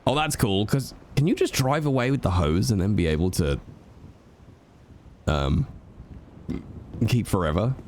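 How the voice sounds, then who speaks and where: A man's voice: lowish voice, expressive, a little slow, pauses often, a little mellow, a little hiss or hum. A man streaming a roleplay game, chatting to viewers with a stuffy nose from a cold, rambling and swearing freely. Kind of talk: casual chat to viewers while playing.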